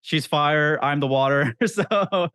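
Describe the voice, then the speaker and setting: A man's voice: a little high, takes it slowly, fairly bright tone, clean tone, no room sound, studio quality. A man hosting a casual interview, chatting with a fan's enthusiasm about films and shows. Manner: friendly, chatty, fan enthusiasm. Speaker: interview host.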